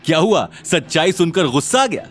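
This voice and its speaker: A male narrator putting on an arrogant young rival in an audio drama, sneering with contempt. His delivery is lively in pitch, with music behind it. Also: a little fast. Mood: taunting.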